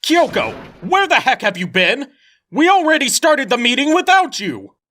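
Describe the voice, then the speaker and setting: A man voicing a rattled, indignant teenage schoolboy, loud and accusing, in an anime game fan dub. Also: very high-pitched, very animated, normal speed, slight background noise, full character voice.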